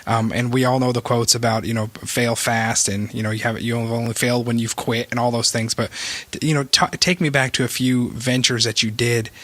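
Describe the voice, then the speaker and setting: A man's voice: mid-range voice, little pitch movement, normal speed, a bit bright, dry recording. A man hosting a podcast, asking questions in an enthusiastic, encouraging casual manner. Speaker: podcast host. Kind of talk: casual interview talk.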